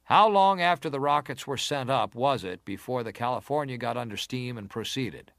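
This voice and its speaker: Formal, probing senator. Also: male voice, medium pitch, moderate pace, vocal fry, a bit bright, no echo, studio quality. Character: formal, probing male senator questioning a witness. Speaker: inquiry chairman, questioner. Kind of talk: dramatized reading of historical testimony.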